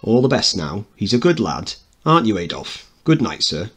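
Guy reading a play as a relaxed copper sucking up, husky, hesitant, friendly. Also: on the low side, normal speed, exaggerated voice. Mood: chummy, deferential. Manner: hesitant, ingratiating. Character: chummy, deferential policeman relaxing with a social superior.

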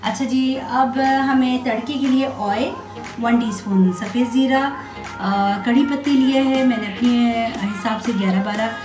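A woman's voice: on the high side, unhurried, reverberant, over music. A woman from Pakistan giving chatty step-by-step cooking instructions in her home kitchen, often checking in with her viewers. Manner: chatty, with many fillers and "thik hai" checks. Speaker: cooking vlogger.

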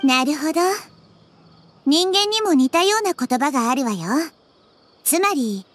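A woman voicing a wise, kindly young goddess in a video game, soft, composed and thoughtful. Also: sits high, animated, a little slow, no room sound. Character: serene, wise, kindly girlish little goddess. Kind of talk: scripted game dialogue.

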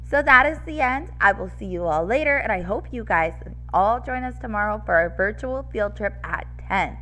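Young female preschool teacher, bright and slightly scratchy, animated sing-song delivery for little listeners. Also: mid-range voice, moderate pace, faint music behind. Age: young adult. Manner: animated, addressing small children, sing-song rhyme reading. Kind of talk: read-aloud for preschoolers. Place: classroom-style room with decorated wall, indoors. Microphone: headset microphone.

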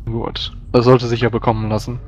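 A man's voice: medium pitch, monotone, a little fast, a little mellow, dull audio. A man speaking in character as a player in a tabletop role-playing game, with considered wording. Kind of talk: in-character discussion.

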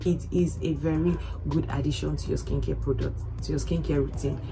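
A young woman with a slightly hoarse voice, chatting animatedly to camera about skincare and repeating points for emphasis. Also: sits low, steady tone, brisk, over music, rough recording. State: hoarse, recovering lost voice. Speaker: beauty vlogger. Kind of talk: casual skincare vlog to camera. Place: indoors against plain orange backdrop.

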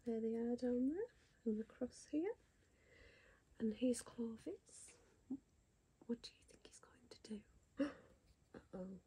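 A woman reading a bedtime picture book to a child in a soft whisper, gasping and asking the child questions. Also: a little high, expressive, slow pace, long pauses, a little hiss or hum, poor audio. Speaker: bedtime story reader.

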